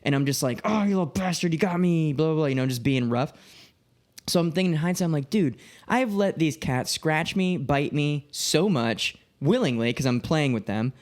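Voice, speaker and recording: A man's voice: a little high, expressive, normal speed. A man in his late twenties chatting casually as a podcast co-host, telling personal anecdotes and acting out quoted dialogue, with frequent swearing. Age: late 20s.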